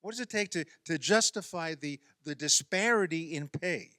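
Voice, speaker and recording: A man giving a sermon as a minister, measured and using rhetorical questions. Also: on the high side, pushed and bright, no room sound, studio quality.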